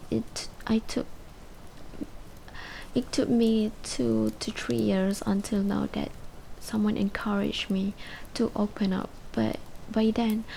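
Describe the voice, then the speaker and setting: Girl acting as a depressed twenty-something in a radio drama, halting and monotone, creaky voice, withdrawn. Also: medium pitch, a little hiss or hum, rough recording. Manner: hesitant, halting, breaking into whispers. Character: withdrawn, depressed twenty-three-year-old patient confessing painfully. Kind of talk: amateur radio drama acting, confessional monologue.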